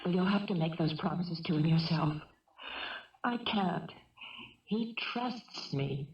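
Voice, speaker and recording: An actress playing a soft-hearted, anxious mother pleading in a 1970s radio drama. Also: fairly low pitch, lively intonation, takes it slowly. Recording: dull, echoey 1970s radio recording.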